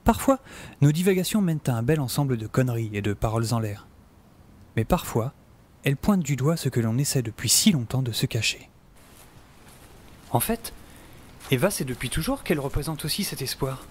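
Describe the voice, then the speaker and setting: A man's voice: medium pitch, a little mellow, slight background noise, lightly acted. A man playing an optimistic, self-important middle-aged scientist and survivor, narrating an audio drama in a wry, theatrical, musing way. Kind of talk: scripted first-person audio drama narration. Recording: music behind the voice. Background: music.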